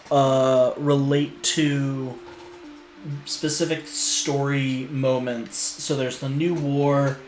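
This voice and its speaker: A man talking casually on a stream, hesitant and full of fillers and restarts. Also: medium pitch. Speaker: lore streamer. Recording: echoey room with faint noise.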